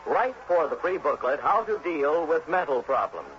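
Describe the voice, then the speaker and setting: An American male announcer delivers a scripted old-time radio notice with lively, swooping intonation. The sound is dull, with faint music underneath. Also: on the high side, normal speed. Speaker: public service announcer.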